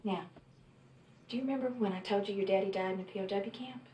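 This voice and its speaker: An actress playing a gentle mother talking to her small son in a film drama. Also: medium pitch, fast, close to an everyday voice. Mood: gentle, coaxing. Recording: echoey room, distant mic, noisy soundtrack.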